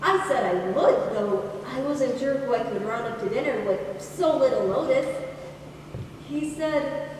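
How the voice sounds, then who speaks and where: A woman's voice: high voice, a little slow. A young woman playing a grown daughter wistfully recalling her father in a stage monologue. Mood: tender, sombre. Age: teenager. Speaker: high school drama performer. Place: large indoor hall at a school play. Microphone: distant camera. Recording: echoey hall from far off, faint noise.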